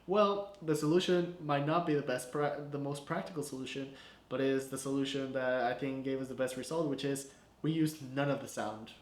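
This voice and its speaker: Chatty young man in an echoey room. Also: mid-range voice. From Guatemala. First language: Spanish. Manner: relaxed, chatty, full of "like" and "you know". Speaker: filmmaker. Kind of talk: casual breakdown of his short film.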